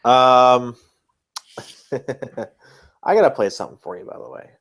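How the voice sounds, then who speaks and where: A man's voice: mid-range voice, sing-song, unhurried, gravelly, fairly bright tone. A man co-hosting a podcast, reacting off the cuff in casual chat. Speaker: podcast co-host.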